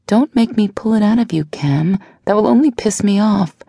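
A woman's voice: mid-range voice, moderate pace, vocal fry, mellow, breathy edge, dry recording, studio quality, pitched above the performer's own voice. A woman reading an audiobook voices a fiery, devoted young woman who scolds and pleads with her partner. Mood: pressing. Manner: pleading, insistent.

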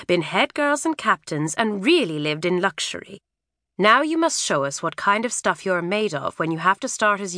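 A woman's voice: medium pitch, no room sound, studio quality. Woman reading a firm kindly mother. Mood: soothing. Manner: calm, firm, soothing. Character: firm but kindly middle-aged mother.

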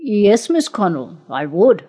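A woman's voice: medium pitch, big pitch swings, slow pace, dark tone, slightly rough, exaggerated voice. A woman voicing a frightened middle-aged male executive who pleads desperately. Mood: begging.

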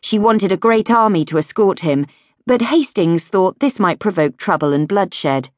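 A woman reading a history audiobook as a scripted narration, in a measured, clearly phrased storytelling manner. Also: medium pitch, animated, no echo, clean studio sound. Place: recording studio.

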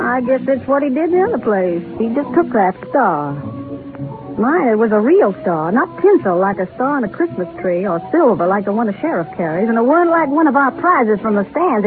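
A woman's voice: on the high side, close to an everyday voice. Actress as folksy older Southern carnival woman, tinny radio. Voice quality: folksy, rural speech. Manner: rambling, confiding storyteller. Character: folksy aging Southern carnival show owner, wistful, garrulous woman. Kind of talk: 1930s radio drama narration. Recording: tinny scratchy 1930s radio broadcast. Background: music.

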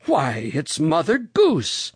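A reader voicing a genial, excitable old gentleman rabbit from a storybook, polite and given to exclaiming. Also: medium pitch, measured pace, no room sound, studio quality. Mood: delighted. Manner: polite, exclamatory, wondering aloud.

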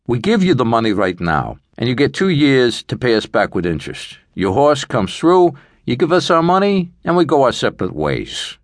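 A streetwise, middle-aged money lender with menace under a businesslike manner, acted out by a male narrator. He lays out terms in a measured way, with lively pitch, recorded close and dry. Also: no echo. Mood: cool, businesslike. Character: calculating middle-aged male loan shark, cool and menacing.

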